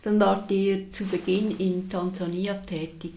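A woman host giving a formal scripted introduction and then asking a guest questions in a measured way. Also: mid-range voice, little pitch movement, creaky, dark tone, poor audio. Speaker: interviewer, host.

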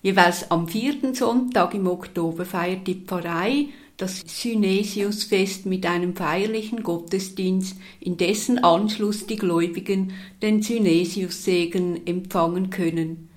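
A woman host giving a formal scripted introduction and then asking a guest questions in a measured way. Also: lowish voice. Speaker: interviewer, host.

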